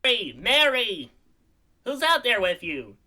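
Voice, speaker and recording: A woman's voice: on the high side, big pitch swings, measured pace, sharp tone, full character voice. A woman playing a meddling, bossy small-town mother in a radio play. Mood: suspicious, scolding.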